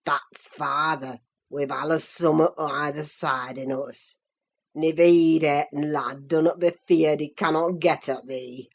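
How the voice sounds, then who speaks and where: A woman voicing a malicious old Yorkshire manservant in an audiobook, with a croaking, muttering, mocking delivery. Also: sits low, steady tone, unhurried, voice pushed down. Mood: gloating. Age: older. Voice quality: croaking, crackly, breathy. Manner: muttering, mocking dialect speech. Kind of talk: audiobook character voice.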